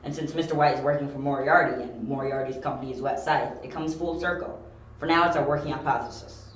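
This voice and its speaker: A man playing a young, confident, analytical detective in an amateur audio drama, talking briskly and insistently. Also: medium pitch, fairly even intonation, fairly bright tone, slight background noise, lightly acted. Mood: assured. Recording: very echoey room.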